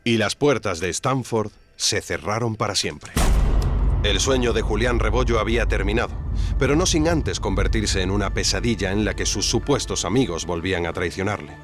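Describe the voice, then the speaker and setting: A man's voice: fairly low pitch, moderate pace, over music. A man with an accent from Spain giving scripted, dramatic documentary narration. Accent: Spanish (Spain).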